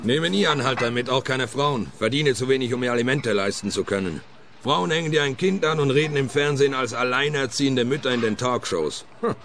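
A man's voice: medium pitch, a little fast, fairly bright tone, natural voice. An actor playing a bitter male trucker who grumbles and mutters curses in a radio play. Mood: bitter, grumbling. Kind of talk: German-language radio play acting. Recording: clear outdoor urban background noise. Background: urban ambience noise.

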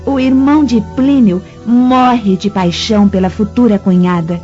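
A woman's voice: mid-range voice, steady tone, measured pace, mellow, natural voice. An actress playing a sly, mocking young Roman noblewoman with a knowing, insinuating manner in a radio drama. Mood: mocking, amused. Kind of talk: formal radio drama acting. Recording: muffled recording with music underneath.

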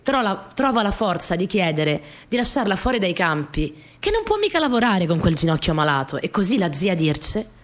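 A woman's voice: fairly low pitch, sing-song, normal speed, hardly any pauses. An actress playing a young peasant girl who recounts her rural family life in simple, repetitive phrases for a radio play. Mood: reflective. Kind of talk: first-person dramatic storytelling.

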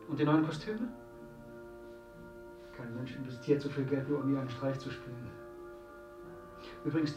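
A proud, ambitious husband in a radio play, played by a man. His voice is slightly high and emphatic as he explains himself. He sounds off-mic in a very roomy space, with some music about. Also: distant mic, lightly acted. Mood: proud, insistent. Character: self-assured, eager male actor, a husband with grand ambitions. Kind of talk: radio drama acting.

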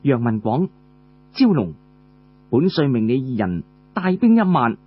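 A man's voice: mid-range voice, very animated, unhurried, slightly rough, narrow, tinny audio, pitched below the performer's own voice. A man voicing a stern woman army marshal who gives formal, clipped orders. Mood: stern, commanding.

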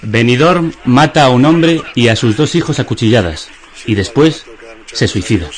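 An actor playing a deadpan, pedantic philosopher of the absurd in a radio theatre dialogue. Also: male voice, medium pitch, moderate pace, close to an everyday voice. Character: deadpan absurd philosopher musing over news headlines.

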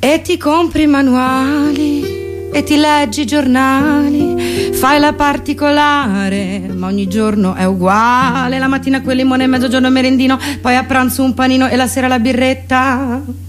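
High female cabaret voice, wry and theatrical, loud guitar accompaniment behind. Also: fairly even intonation, a little slow. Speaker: cabaret performer and singer. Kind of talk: comic musical monologue, half spoken and half sung. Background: acoustic guitar music.

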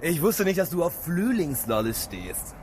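An actor in a radio drama playing a wary, sceptical biker sidekick who talks casually. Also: male voice, fairly high pitch, sing-song, moderate pace, a little mellow, soft background music.

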